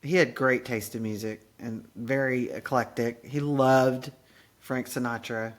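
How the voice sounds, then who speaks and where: A woman's voice: rumbling low, fairly even intonation, measured pace. A woman in her late fifties, a podcast host in casual conversation, chatty and goofy, often laughing at herself. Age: 50s.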